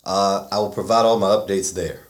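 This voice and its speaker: Man, low voice, rambling casual storytelling, echoey room. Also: fairly even intonation, fairly quick, a little hiss or hum. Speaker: podcast guest. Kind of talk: casual chat.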